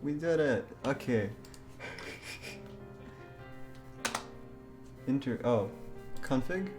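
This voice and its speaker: A man talks casually as a livestreamer, taking his time between phrases. He is giggly and easily sidetracked, speaking at a middling pitch, with music clearly playing behind him. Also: lively intonation, very slow. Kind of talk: casual live stream chat. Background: background music.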